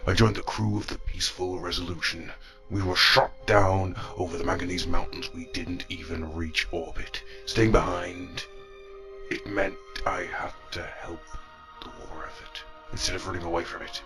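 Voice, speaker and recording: A man acting a bitter, stubborn robot officer with a gravelly voice in an audio drama. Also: deep voice, little pitch movement, normal speed, music in the background. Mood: subdued confession. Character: bitter, stubborn male robot officer, hard-nosed and defensive.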